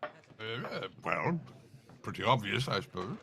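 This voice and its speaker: An actor playing a bumbling, genteel, easily baffled sidekick in a comic live radio play, hesitant, stammering and blustering. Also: male voice, lowish voice, very animated, measured pace, a crowd in the background. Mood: flustered. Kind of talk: live old-time radio acting, comedic.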